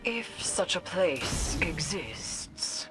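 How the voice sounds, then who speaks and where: Casting: wary, authoritative warrior woman in a game, deliberate slow pace, stern and distrustful, score underneath. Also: lowish voice, very animated, full character voice, rough recording. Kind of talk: video game voice acting. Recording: music behind voice.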